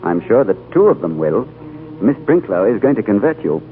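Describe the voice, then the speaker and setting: Earnest, measured diplomat, lowish voice, thin old radio sound with faint music. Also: male voice, sing-song, narrow, tinny audio. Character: thoughtful male British diplomat narrating his tale. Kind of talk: 1930s radio drama acting and narration.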